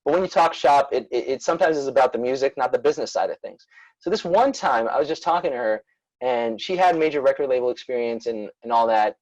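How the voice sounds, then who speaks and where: A man's voice: medium pitch, expressive, fast talker, darkish tone, dry recording, dull audio. A man from Queens, New York, chatting casually as a musician guest in an interview over a video call. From born in former Soviet Union, raised in Queens, New York.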